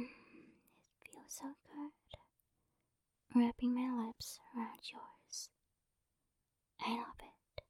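A woman doing a whispered romantic ASMR roleplay, speaking softly and coaxingly, close to the microphone. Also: a little high, no echo. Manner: soft breathy whispering, affectionate and coaxing. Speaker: ASMR performer.

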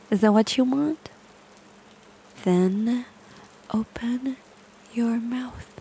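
Woman doing an obsessive stalker girl in an audio roleplay, breathy whispery voice with lots of vocal fry, slow and drawn out, sweet one sec then yelling. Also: mid-range voice. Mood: menacing. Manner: swings between cooing and yelling. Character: obsessive young female stalker, volatile and possessive. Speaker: voice actor.

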